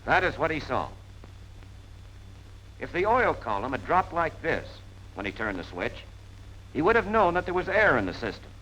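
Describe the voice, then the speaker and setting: A man's voice: a little high, very animated, moderate pace, long pauses, sharp tone. An American man gives plain-spoken, punchy instruction in the style of a 1940s military training film narration. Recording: noisy 1940s film soundtrack.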